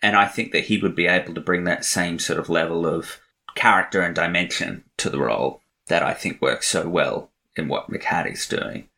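A man's voice: low voice, normal speed, vocal fry, bright, forceful tone. A man co-hosting a podcast, giving rambling, opinionated movie talk with many fillers. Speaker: podcast co-host. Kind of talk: casual movie review chat. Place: podcast recording.

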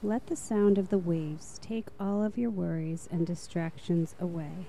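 A woman narrating a guided meditation in a soft, slow, soothing manner. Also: fairly low pitch, steady tone, creaky, slight background noise.

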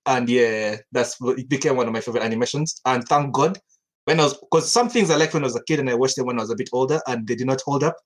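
A man's voice: mid-range voice, quick pace. Young man from Uganda, podcast guest, talks in loose rambling stretches with restarts and laughs. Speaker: studio co-founder guest. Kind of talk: casual podcast chat.

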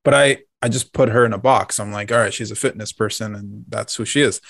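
A man's voice: on the low side, fairly even intonation, fairly quick, vocal fry, a bit bright, no room sound. Male podcast co-host, dry close sound. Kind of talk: casual chat.

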